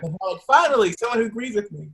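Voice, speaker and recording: High-pitched man chatting casually. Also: expressive, quick pace, gravelly, fairly bright tone, a little hiss or hum. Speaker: podcast performer. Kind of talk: casual chat.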